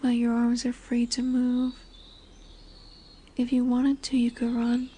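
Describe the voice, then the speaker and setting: A woman's voice: a little high, completely flat delivery, takes it slowly, dark tone, slightly rough. A woman voicing a large lamia, a seductive serpent woman who is commanding yet soothing, in a formal, hypnotic, coaxing manner for a roleplay audio drama. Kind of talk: roleplay audio drama with soft whispered passages. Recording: noisy woodland ambience with birdsong. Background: birdsong and forest ambience.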